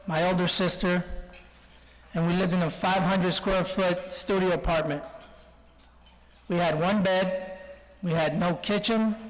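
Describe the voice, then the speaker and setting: High-voiced man speaking in a reverberant hall. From Colombia, then Texas from childhood. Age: 40s. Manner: joking, anecdotal storytelling. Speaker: guest speaker. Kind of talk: informal speech with self-deprecating humour. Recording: reverberant hall, speech over PA.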